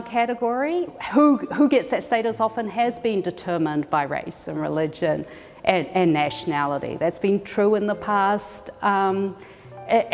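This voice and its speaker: A woman from New Zealand, a scholar and educator, giving reflective interview answers with frequent pauses and restarts. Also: lowish voice, darkish tone, in a large hall. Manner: thoughtful, hesitant with frequent ums and restarts.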